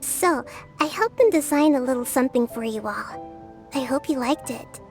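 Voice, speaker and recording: A woman voicing a gentle, mysterious bird spirit in a video game, soft-spoken, serene and wistful. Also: sits high, lively intonation, normal speed, faint music behind. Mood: serene, admiring.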